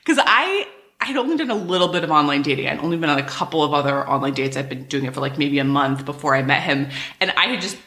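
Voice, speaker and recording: A woman in her thirties hosts a podcast in a lively, animated way, her pitch swinging widely. Her voice is low-pitched yet bright and forceful, and it rings in an echoey room. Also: quick pace. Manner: animated, laughs often. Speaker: podcast host. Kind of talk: casual podcast chat.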